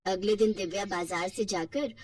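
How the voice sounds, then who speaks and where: A woman's voice: medium pitch, normal speed, darkish tone, recorded from a distance, slight background noise. A woman telling a scripted family cartoon story as narrator.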